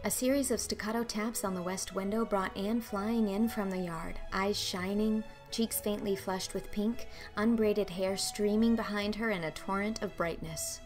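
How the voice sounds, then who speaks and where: Audiobook narrator: young woman, mid-pitched, expressive scripted storytelling, soft music bed. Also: moderate pace, few pauses.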